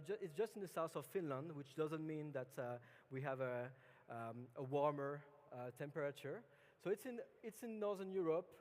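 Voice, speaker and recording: A man from France with a French accent, giving a relaxed, chatty conference talk with many fillers and restarts. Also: fairly high pitch. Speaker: conference speaker. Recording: long hall reverb.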